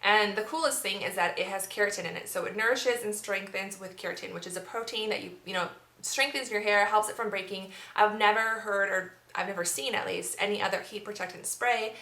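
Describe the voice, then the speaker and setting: A young woman with a bright, forward voice talks through her monthly beauty favorites in a chatty, upbeat way, full of "like" and "um." Her voice rings a bit in an echoey room at home. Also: mid-range voice, fairly quick. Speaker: beauty vlogger. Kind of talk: casual monthly favorites review.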